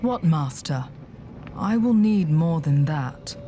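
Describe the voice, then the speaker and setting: A woman's voice: deep voice, lively intonation, slow pace, warm tone, soft background music. An actress voicing a determined woman adventurer in a video game, speaking directly and plainly. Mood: startled, wary. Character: determined, questioning young woman adventurer hero. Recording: clear background ambience noise.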